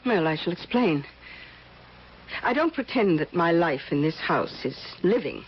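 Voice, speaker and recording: An actress playing an ailing, overweight, wealthy middle-aged recluse in a radio drama, speaking in a halting, imperious, fussy way. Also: female voice, lowish voice, very animated, a little hiss or hum. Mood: haughty, detached. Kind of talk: old-time radio drama acting. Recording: muffled old radio broadcast recording.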